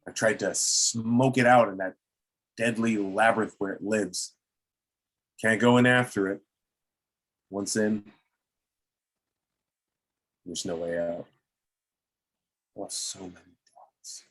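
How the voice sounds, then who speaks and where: A man playing a menacing, obsessive old explorer in a table read, theatrical and deliberate, with a husky voice. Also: lowish voice, fairly bright tone. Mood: brooding, obsessed.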